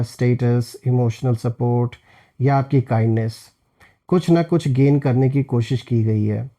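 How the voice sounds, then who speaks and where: A man's voice: mid-range voice, mellow. A man giving a tarot reading as host, explaining things and addressing viewers directly as friends. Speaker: tarot card reader and host.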